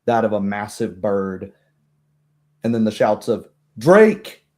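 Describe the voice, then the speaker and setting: A man's voice: lowish voice, steady tone, a little slow, mellow. A man narrating a tabletop roleplay game as game master, in vivid descriptive phrases.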